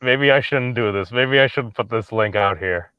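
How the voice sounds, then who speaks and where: A man hosting a late-night stream, talking in a halting, mock-formal way. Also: mid-range voice, clean tone, dry recording, highs cut off. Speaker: stream host.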